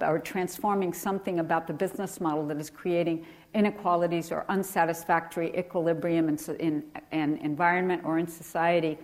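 Brief: middle-aged woman from Ecuador, American accent, low pitch, guest lecturer, informal and full of fillers. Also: darkish tone. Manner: conversational, frequent fillers and restarts. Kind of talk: lecture to a class.

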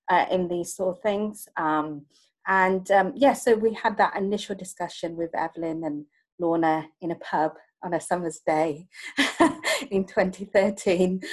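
A woman in casual podcast conversation, talking with many fillers and frequent small laughs. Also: deep voice. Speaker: project co-lead.

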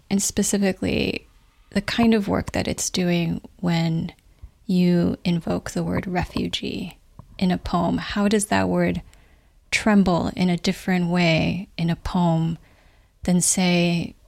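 A woman interviewer with a fairly low, gravelly voice. She asks her questions slowly and carefully, in a measured, thoughtful tone. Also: a little mellow.

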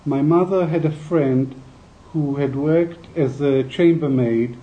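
An older man giving a reflective academic talk, speaking in a measured, deliberate way with hesitant pauses. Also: fairly high pitch, dark tone, highs cut off.

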